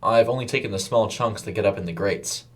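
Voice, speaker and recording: A man's voice: on the low side, brisk, natural voice. A man voicing an unsure, fresh-faced young male rookie worker who speaks hesitantly in an audio story.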